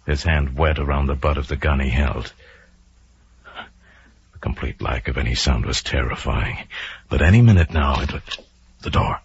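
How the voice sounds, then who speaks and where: A man's voice: very deep voice, slight background noise. A man narrating a suspense story in a dramatic, scripted radio style. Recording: muffled vintage radio recording with music. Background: music.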